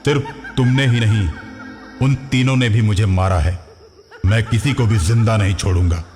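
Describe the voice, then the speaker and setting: Cartoon horror ghost of a murdered young man played by a man: low, grave, vengeful, drenched in reverb. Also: a little mellow, lightly acted. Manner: slow, grave, declaiming. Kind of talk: cartoon horror story dialogue. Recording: long hall reverb, music behind.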